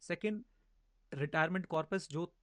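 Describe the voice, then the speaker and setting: A man's voice: a little high, unhurried, no room sound, clean studio sound. A man in his thirties explaining personal finance in casual, emphatic talk, mixing in English phrases. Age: 30s. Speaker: finance educator, podcast host.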